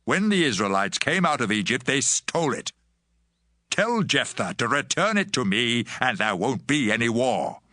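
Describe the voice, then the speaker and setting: A man voicing a proud enemy king in a scripture drama, laying down demands. His voice is bright and tense. It sounds dry and close. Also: medium pitch, very animated, normal speed, no echo. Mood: demanding. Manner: demanding, commanding.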